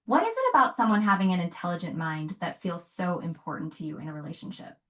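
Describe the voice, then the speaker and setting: Calm measured woman giving advice. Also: on the low side. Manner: calm, measured, paraphrases and asks follow-up questions. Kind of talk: conversational advice.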